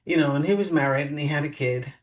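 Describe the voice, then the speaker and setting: A woman co-hosting a podcast in casual chat, musing and lively, her pitch jumping up on emphatic questions. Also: quick pace. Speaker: podcast co-host. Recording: voice a bit distant from mic.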